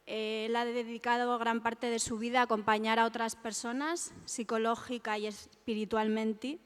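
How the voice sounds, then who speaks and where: A woman's voice: fairly high pitch, level tone, moderate pace, clean tone. A woman introducing a speaker at a public talk, a little nervous and informal. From Spain. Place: lecture hall. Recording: long hall reverb.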